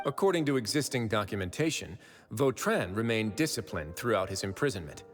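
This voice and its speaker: A calm, wry prison warden. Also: male voice, on the low side, brisk. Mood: explaining. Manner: calm, dry, confident. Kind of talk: video game voice acting. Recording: game audio with long reverb and music.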